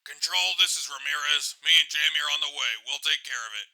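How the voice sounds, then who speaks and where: A man's voice: on the high side, brisk, bright, forceful tone, a little hiss or hum, low-quality recording. A man playing a cocky young security guard who jokes casually over the radio in an audio drama. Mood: casual, dutiful. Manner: casual, wisecracking.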